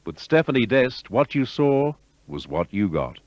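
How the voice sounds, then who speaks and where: A man giving scripted documentary narration in a smooth, measured broadcaster's delivery. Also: medium pitch, sing-song, darkish tone, dry recording. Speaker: narrator of a radio history. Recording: dull lo-fi broadcast audio.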